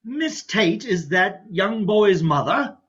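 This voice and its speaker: A man playing a sweet, gullible, bewildered physician, hesitant and slow to catch on, in a comic stage farce reading. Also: high-pitched, sing-song, fairly bright tone, slightly rough, lo-fi sound, full character voice. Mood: puzzled. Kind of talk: comic farce readers theatre.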